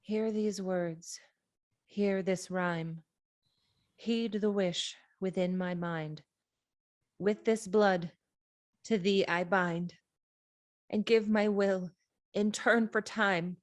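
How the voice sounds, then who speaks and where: Woman as earnest young witch and devoted girlfriend, anxious, mid-pitched, table-read drama. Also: fairly even intonation, very slow, pauses often. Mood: solemn chanting.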